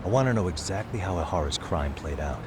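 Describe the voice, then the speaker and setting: An actor playing a laid-back, sharp male private detective in a video game, talking in a casual, conversational way. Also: deep voice, animated, fast talker, background noise. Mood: casual, businesslike.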